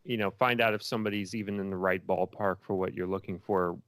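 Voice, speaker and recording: A man's voice: low-pitched, steady tone, fast, fairly bright tone, no echo. A man speaking casually as an interview guest, a filmmaker who often repeats phrases as he builds his thoughts.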